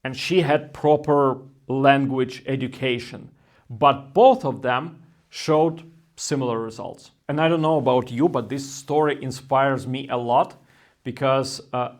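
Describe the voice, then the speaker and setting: A man in his thirties, hosting a language-learning channel, talking through research in a steady, thoughtful way with non-native phrasing. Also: mid-range voice. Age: 30s. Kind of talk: explainer talk to camera.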